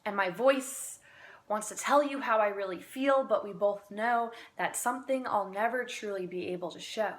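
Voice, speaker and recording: A woman amateur poet recites spoken-word slam poetry in an earnest, deliberate manner with dramatic pauses. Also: medium pitch.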